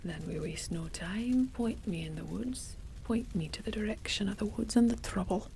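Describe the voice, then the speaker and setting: Woman whispering as a gruff Scottish axe warrior. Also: mid-range voice, moderate pace, noisy background, lightly acted.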